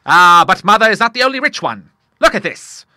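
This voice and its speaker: A man voicing a jovial old retired admiral who speaks in a loud, hearty, booming way. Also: sing-song, brisk, dry recording, studio quality, exaggerated voice.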